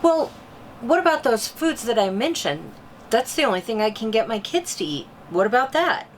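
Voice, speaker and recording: A woman's voice: fairly high pitch, big pitch swings, normal speed, a little hiss or hum. A woman playing a concerned, curious mother who interviews an expert in a scripted drama, wide-eyed and sympathetic. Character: concerned, curious mother seeking diet advice. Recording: clear music bed behind the voice.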